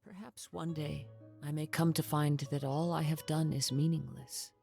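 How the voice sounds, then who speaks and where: A man playing a calm, reflective young wandering samurai in a video game, speaking in measured, formal, poetic phrases. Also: fairly high pitch, vocal fry. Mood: solemn, wistful. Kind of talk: video game story dialogue and narration. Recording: music behind the voice.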